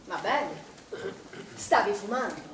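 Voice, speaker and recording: An actress playing a stern, probing swimming pool manager in a naturalistic stage drama, insistent and scolding in manner. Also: female voice, mid-range voice, lively intonation, slow. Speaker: actor. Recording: echoey, distant stage recording with noise.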